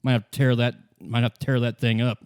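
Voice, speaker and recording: Casting: crude, callous soldier of fortune male player acting him out, rambling radio chatter, halting, smug, medium pitch, dry sound. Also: little pitch movement, a little mellow, clear, resonant tone, dry recording, lightly acted. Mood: leering, chuckling. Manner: halting, chuckling, rambling drawl. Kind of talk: tabletop roleplay of an intercepted radio transmission.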